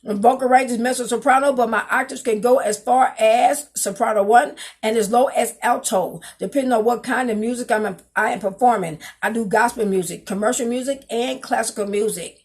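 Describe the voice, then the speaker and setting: A woman's voice: a little high, fairly quick, few pauses, husky. A woman in her fifties talking to her webcam at home, reading from her resume in a rambling way and spelling out words. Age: 50s. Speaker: vlogger, singer and dancer. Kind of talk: casual talk to camera, reading from her resume. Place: small home room, indoors. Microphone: webcam on a desk.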